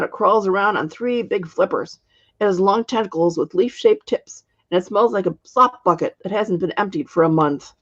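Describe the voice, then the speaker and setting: A woman voicing a spry, blunt ninety-year-old witch from a storybook, husky and full of complaints. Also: medium pitch, dull audio, close to an everyday voice. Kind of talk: storybook character reading.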